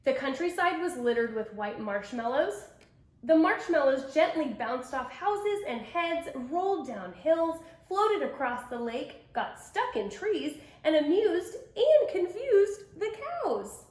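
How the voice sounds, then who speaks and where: High-voiced woman reading a children's storybook. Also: slow pace. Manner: expressive, emphatic storytelling. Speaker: storybook reader. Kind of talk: children's picture book read-aloud with asides to young listeners. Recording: echoey room.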